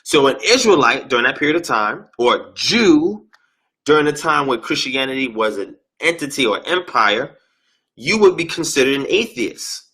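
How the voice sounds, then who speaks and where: Husky man explaining in lecture style. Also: mid-range voice, lively intonation, moderate pace, pushed and bright. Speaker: interview guest, religious teacher.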